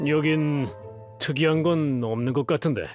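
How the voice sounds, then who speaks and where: A man's voice: on the high side, normal speed, a little mellow, soft background music, natural voice. A man voicing a suspicious patrolling guard in a video game.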